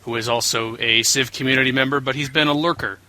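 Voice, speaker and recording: Chatty man with a very bright voice. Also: medium pitch, fairly even intonation, fast talker, slight background noise. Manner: chatty, joking, explains at length. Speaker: guest co-host. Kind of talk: casual podcast chat.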